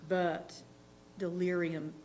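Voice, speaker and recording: A woman reading literary fiction aloud as a theatre reader, with measured, deliberate phrasing. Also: fairly low pitch, little pitch movement, a little mellow, slight background noise.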